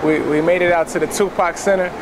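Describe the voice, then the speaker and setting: A man's voice: high voice, fairly even intonation, quick pace, noisy background. A young man hosting a vlog, chatting casually to the camera outdoors. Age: young adult. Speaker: vlog host. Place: outdoors in a parking lot.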